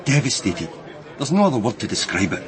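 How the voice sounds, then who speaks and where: Casting: self-important, well-connected businessman, radio drama, lowish, animated pitch. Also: a little fast, crowd noise. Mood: solemn, guarded. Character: smooth-talking, well-connected businessman, solemnly guarded. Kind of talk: naturalistic radio crime drama acting.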